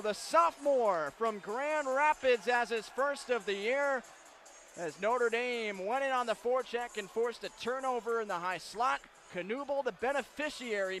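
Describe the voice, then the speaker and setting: A man calling hockey play-by-play on radio, firing off clipped calls of each pass and shot and erupting into shouted goal calls. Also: extremely high pitch. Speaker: play-by-play announcer.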